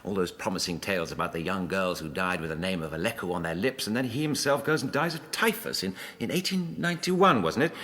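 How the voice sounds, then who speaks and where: An actor playing a courteous, slightly fussy 1920s gentleman scholar with an upper-class English accent in a radio drama. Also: low voice, lively intonation, fast talker, hardly any pauses. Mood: curious, flustered. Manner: polite, slightly fussy, donnish. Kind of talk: radio drama acting, letter narration.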